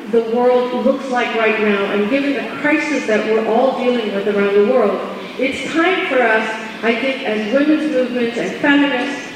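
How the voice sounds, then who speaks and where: A woman from India with an American Midwestern accent, giving an earnest, measured conference talk with fillers and restarts. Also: fairly high pitch. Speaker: conference speaker. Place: large conference hall. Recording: echoey hall recording from far off, background noise.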